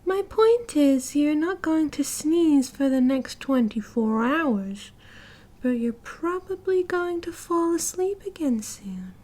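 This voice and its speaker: Woman doing a british yandere girl for asmr roleplay, super slow n mellow, cheerful doting kidnapper, giggly and cooing. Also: high voice, lively intonation. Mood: giggly, casual. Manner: sweet, giggly, cooing, rambling. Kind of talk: ASMR audio roleplay, soft casual monologue.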